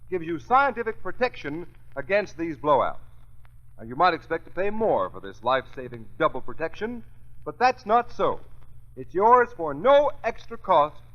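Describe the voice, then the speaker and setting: An American male announcer delivers a scripted commercial in 1930s radio style. His fairly high voice moves in lively swings, on a dull old recording.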